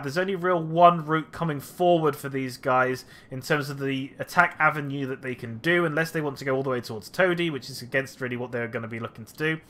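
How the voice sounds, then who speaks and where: A man's voice: a little high, pushed and bright. A man commentating a strategy game battle replay, slightly nasal from a cold, thinking aloud with frequent fillers.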